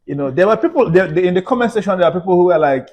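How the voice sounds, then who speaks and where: A man co-hosts a relaxed podcast conversation. He talks in a chatty way, raising pointed questions and telling anecdotes to make his point. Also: high voice, rapid-fire, dull audio. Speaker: podcast co-host. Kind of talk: casual chat.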